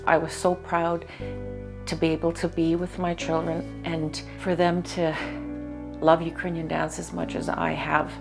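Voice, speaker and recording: A middle-aged woman from Canada, a mother and former dancer, recalling the past in a measured, reflective way. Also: deep voice, little pitch movement, gravelly, over music. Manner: measured, reflective, a few fillers. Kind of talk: interview reminiscence.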